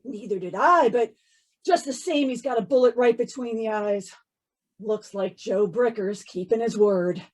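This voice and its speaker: A woman's voice: fairly high pitch, unhurried, a little mellow. A woman playing an earnest, worried homicide inspector with urgent insistence in an old-time radio drama. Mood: grim.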